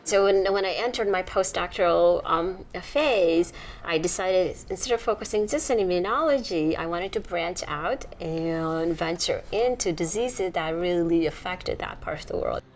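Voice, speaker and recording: A middle-aged woman from Nepal, a researcher, explaining her work in a measured documentary interview. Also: fairly low pitch, a little hiss or hum. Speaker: immunology researcher.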